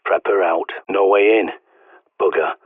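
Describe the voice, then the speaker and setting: Game role: a husky, low-voiced British prepper on the radio, blunt, cynical, profane. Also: male voice, fairly even intonation, measured pace, no echo. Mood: gruff. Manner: sardonic, blunt, swears often. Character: gruff male survivalist radio guide, cynical. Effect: radio transmission. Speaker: radio guide character. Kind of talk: video game voice acting. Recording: tinny radio line.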